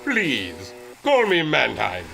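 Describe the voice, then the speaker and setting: An actor playing an earnest, excitable inventor and airship captain in a retro adventure radio drama, with formal, theatrical diction. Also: male voice, medium pitch, slow pace, gravelly, soft background music, full character voice.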